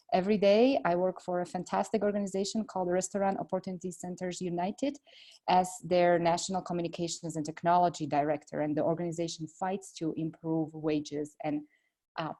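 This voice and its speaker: A middle-aged woman from Slovakia with a Slovak accent, answering interview questions earnestly and filling pauses with "uh.". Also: lowish voice. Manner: earnest, steady, frequent "uh" fillers. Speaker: congressional candidate.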